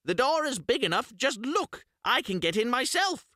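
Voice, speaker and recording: Guy doing a screechy old witch, nasty and mocking, high and jumpy. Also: big pitch swings, normal speed, pushed and bright, no echo, studio quality, full character voice. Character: wicked cackling old female witch.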